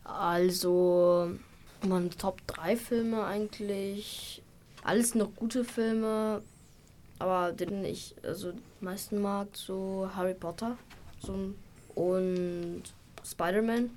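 Slow, hesitant teenage girl. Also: mid-range voice, steady tone, a little mellow, slight background noise. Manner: hesitant, searching for words. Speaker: student guest.

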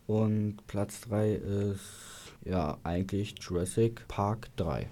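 A man's voice: on the low side, slow pace, mellow. A teenage boy, a student guest, speaking casually and emphatically.

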